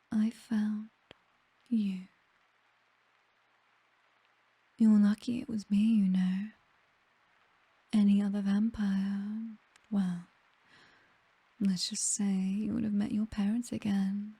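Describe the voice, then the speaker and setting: A woman's voice: mid-range voice, little pitch movement, slightly rough, dry recording, heavily acted. A British woman playing a possessive, teasing vampire mistress in an intimate ASMR roleplay, whispering breathily. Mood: quietly menacing. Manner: slow, teasing, softly commanding.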